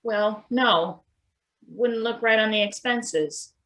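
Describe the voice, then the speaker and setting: A woman playing a mild, weary maintenance worker in a stage play reading, halting and understated. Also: a little high, a bit bright. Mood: awkward, dry. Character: hesitant, mild-mannered, weary male maintenance worker named Izzy. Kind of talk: live table read of a satirical stage play.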